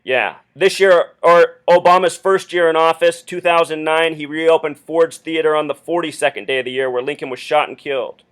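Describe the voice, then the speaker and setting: A man speaking as a caller on a phone call, earnestly explaining his theory in an insistent, deliberate, questioning way. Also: on the high side, steady tone, fairly bright tone, clear, resonant tone. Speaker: caller phoning the police with a theory.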